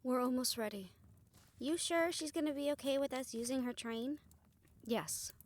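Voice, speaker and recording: A woman's voice: high voice, normal speed, close to an everyday voice. A woman playing a defiant, determined young woman in a fantasy audio roleplay, earnest and sardonic when challenged. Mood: tense, resolute.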